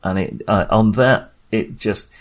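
A man's voice: lowish voice, a little slow. A man talking casually as a film podcast host, in a hesitant, rambling but enthusiastic way.

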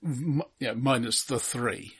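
A man casually analysing films as a podcast co-host, measured and wry, often qualifying and correcting himself as he goes. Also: mid-range voice, breathy edge. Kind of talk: casual analytical film discussion.

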